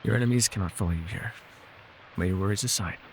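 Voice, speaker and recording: A low-voiced, gravelly elf healer, acted by a man, ancient and weary yet gentle. He murmurs in measured, old-fashioned phrases with a wry, teasing edge, as in an intimate fantasy ASMR roleplay, over faint background ambience. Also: background noise, close to an everyday voice. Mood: soothing. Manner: measured, formal archaic phrasing, soothing.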